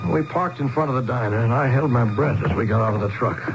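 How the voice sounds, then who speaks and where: An actor playing an earnest, bewildered traveler, with dramatic 1970s radio drama delivery. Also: male voice, medium pitch, fast, lightly acted. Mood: tense, wondering. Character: bewildered, earnest traveler telling his strange tale. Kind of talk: dramatic first-person narration, 1970s radio acting. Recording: dull radio broadcast with loud music.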